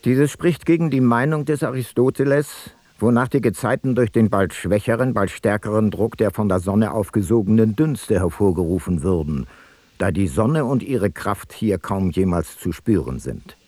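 Ancient male explorer-scholar voiced by an actor, low pitch, measured, factual logbook narration, radio play. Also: darkish tone, no room sound, close to an everyday voice. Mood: matter-of-fact.

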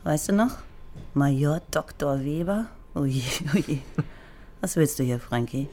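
A woman's voice: low-pitched, animated, measured pace, mellow, a little hiss or hum. Blunt, mocking East German policewoman. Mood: teasing. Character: teasing, sardonic East German woman police lieutenant, old university friend. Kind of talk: radio crime drama acting.

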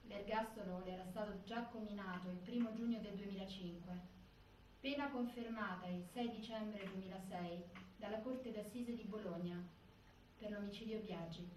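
A woman's voice: mid-range voice, fairly even intonation, moderate pace, a little mellow, close to an everyday voice. Roomy sound w faint noise, actress doing a formal lady narrator, factual scripted theatre reading. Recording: echoey stage, distant, faint noise.

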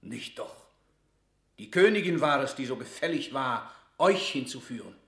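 A man's voice: medium pitch, lively intonation, normal speed, fairly bright tone, reverberant. A man playing a cold, sarcastic statesman in a classical radio drama, with formal, commanding and cutting declamation. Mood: bitter sarcasm. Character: stern, cold, sarcastic male royal statesman.

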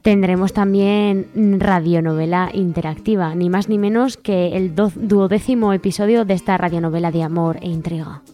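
A woman's voice: on the low side, steady tone, normal speed, few pauses. A woman co-hosting a community radio program, reading headlines in a casual way. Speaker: radio co-host. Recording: faint music bed behind.

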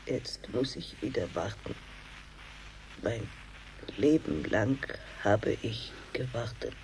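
An actress playing a distraught, abandoned space settler with a breathy, plaintive voice in a German radio drama. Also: female voice, extremely low pitch, expressive, slow, darkish tone, slight background noise, exaggerated voice, rough recording. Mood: bitter resignation.

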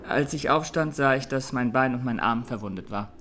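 Man playing young male massacre witness, medium pitch, halting, grave, radio play, clear bird ambience behind. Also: sharp tone, a little hiss or hum, close to an everyday voice. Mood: subdued, grave. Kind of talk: radio drama courtroom testimony.

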